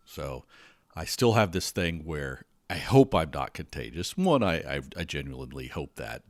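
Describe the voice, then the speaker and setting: A man's voice: deep voice, no room sound. A man hosting a podcast, talking casually as he gets over a cold. He rambles and stumbles over his words, often repeating himself. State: recovering from a cold. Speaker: podcast host. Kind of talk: casual solo podcast talk.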